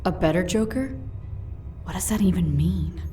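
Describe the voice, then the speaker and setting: A woman's voice: on the low side, moderate pace, warm tone, recorded from a distance, soft background music, natural voice. A woman voicing an earnest, conciliatory young vigilante in a comic dub. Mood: puzzled. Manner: earnest, peacemaking.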